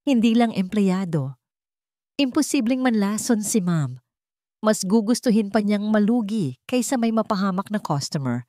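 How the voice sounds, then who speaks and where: A woman's voice: mid-range voice, dark tone, no room sound, studio quality, pitched above the performer's own voice, natural voice. Woman as an earnest, loyal employee. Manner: earnest, emotional, respectful. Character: loyal, grateful female employee giving emotional testimony. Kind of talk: dramatic audio storybook acting.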